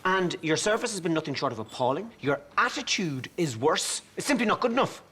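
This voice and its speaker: A man's voice: a little high, big pitch swings, brisk, bright, forceful tone, slightly rough, heavily acted. Exasperated man. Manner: exasperated, sarcastic. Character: exasperated middle-aged man, sarcastic and easily riled. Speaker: put-upon son-in-law. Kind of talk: sitcom acting.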